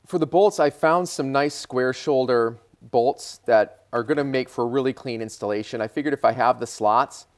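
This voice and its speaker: A man's voice: medium pitch, normal speed, hardly any pauses. An American man hosting a DIY car video, narrating a how-to casually and informally, thinking aloud as he works. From Michigan, United States.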